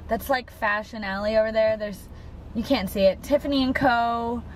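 A woman's voice: on the high side, normal speed, low-quality recording. A young woman in her twenties chatting casually to her camera while driving at night, rambling with lots of fillers. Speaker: vlogger. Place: inside a car at night. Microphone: camera on a dashboard mount. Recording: camera mic with road and car noise.

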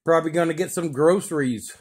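A man's voice: fairly high pitch, a little fast, a little mellow, slight background noise. A man chatting casually in CB lingo as a radio operator.

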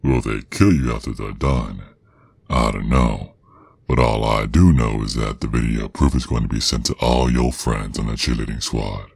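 A man voicing a menacing, protective werewolf father in an ASMR roleplay, with a Southern US accent and a very deep, gravelly, husky voice, drawling out taunts. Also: fairly even intonation, moderate pace, darkish tone, no echo, exaggerated voice. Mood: sadistic menace.

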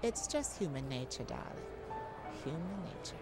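A woman's voice: sits low, animated, normal speed. A woman playing a charming, glamorous lady thief in a romantic ASMR roleplay, coy and teasing, speaking sweetly to the listener. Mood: wistful, tender. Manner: coy, teasing, leisurely with breathy chuckles. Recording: music behind the voice.

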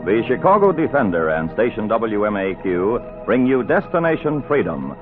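A man's voice: fairly low pitch, sing-song, normal speed, music in the background. A man announcing a scripted introduction in formal, declamatory 1940s radio style. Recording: old narrow-band 1940s radio recording.

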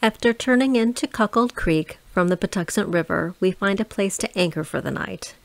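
A woman reading scripted voice-over narration for a travel vlog, calm and informative. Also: medium pitch, normal speed, creaky, no room sound.

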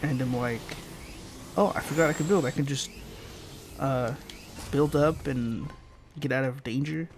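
A man's voice: mid-range voice, a little slow, long pauses. A young man with a congested, nasal voice from allergies, giving casual, rambling gameplay commentary full of fillers. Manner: rambling, filler-heavy explaining. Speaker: gaming channel host. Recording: noisy home recording.

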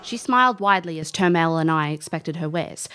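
A woman's voice: sits low, lively intonation, brisk, pushed and bright. A woman playing a breezy, brash elf adventurer who narrates her own tale in an audio drama. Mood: storytelling. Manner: informal, brash. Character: cheeky, breezy female elf adventurer recalling her youth.

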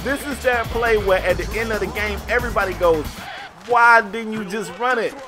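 High-pitched American man doing game commentary. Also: normal speed, music in the background. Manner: colloquial, slangy, animated storytelling. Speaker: sports video game streamer. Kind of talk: casual play-by-play gameplay commentary.

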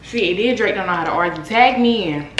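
A woman's voice: medium pitch, roomy sound, background noise. A young woman chatting candidly to her viewers and her dog in a casual vlog. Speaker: vlogger. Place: apartment rooms and a parked car.

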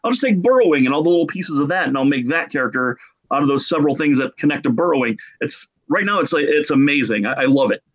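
A middle-aged man telling casual stories as a podcast guest, in an excitable, jokey manner. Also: a little high, fast talker. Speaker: podcast guest, game artist. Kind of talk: casual animated storytelling. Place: small room at home, video call. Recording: muffled lo-fi video call.

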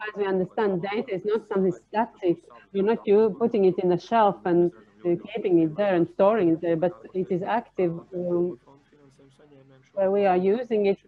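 A woman working as a simultaneous interpreter at a panel discussion, speaking in halting, separate phrases. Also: medium pitch, fairly even intonation. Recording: narrow, tinny phone-like line.

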